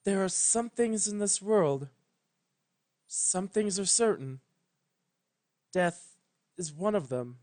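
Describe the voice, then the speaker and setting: A grave, motherly-aged pony sun goddess from a storybook fantasy, voiced by the narrator. She speaks slowly and quietly, with firm solemnity. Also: mid-range voice, expressive, voice pushed up. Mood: grave sorrow.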